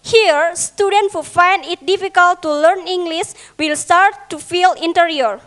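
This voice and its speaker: A teenage woman debater with an Indonesian accent, speaking English as a second language and reading a prepared speech with halting restarts. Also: very high voice, fairly bright tone. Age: teens. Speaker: student debater, opposition.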